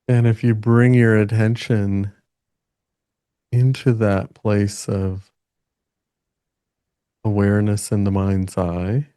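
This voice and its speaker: A man leading a guided meditation in a gentle manner, speaking in halting phrases with long pauses between them. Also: lowish voice, little pitch movement, clean tone, no echo, clean studio sound.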